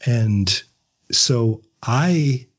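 Husky, chatty middle-aged man, dull sound. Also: medium pitch, expressive. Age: 50s. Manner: chatty storyteller, halting with repeated words, chuckles often. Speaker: podcast guest, audio drama maker. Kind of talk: casual interview chat.

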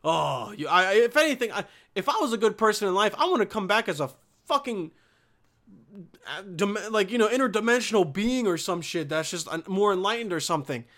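A man's voice: high voice, lively intonation, a little fast, a bit bright. A young man from Bosnia talking in a casual, rambling monologue, swearing often and restarting his sentences. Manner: profane, restarts sentences, slangy.

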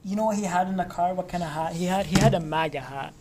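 A man's voice: sits high, quick pace, noisy background. A young man from Lebanon chatting casually, telling stories in a loose, profane way. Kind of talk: casual chat.

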